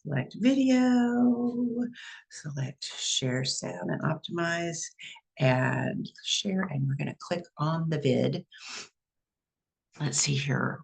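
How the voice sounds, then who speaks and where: Chatty Texan woman with gravelly voice. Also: low voice. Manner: chatty, self-narrating, laughs easily. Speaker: psychic medium and show host. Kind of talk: casual livestream chat. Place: at home.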